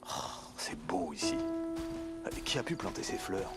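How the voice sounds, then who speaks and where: An actor playing a gentle, curious French soldier in a war game, speaking softly. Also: male voice, deep voice, very animated, normal speed, loud music behind the voice. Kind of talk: quiet game dialogue in character.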